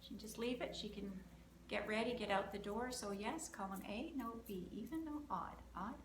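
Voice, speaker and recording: A woman's voice: mid-range voice, moderate pace. Upbeat woman talking through a healing session. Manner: counts through options aloud, upbeat and chatty. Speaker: emotion code practitioner and host. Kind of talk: live energy-healing demonstration, talking through steps. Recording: roomy, slight background noise.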